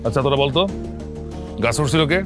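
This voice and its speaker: An actor playing a stern, scolding elder uncle in a village family drama, with a Bangladeshi accent and an accusing manner. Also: male voice, mid-range voice, fast, music in the background, natural voice. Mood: angry, scolding. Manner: accusing, interrogating, threatening. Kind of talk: television family drama acting.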